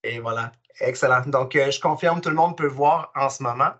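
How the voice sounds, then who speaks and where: A man's voice: medium pitch, moderate pace, a bit bright, highs cut off. A man with a Québécois French accent, hosting a webinar over a video call in an enthusiastic, friendly way. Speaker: webinar host. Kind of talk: casual webinar presentation.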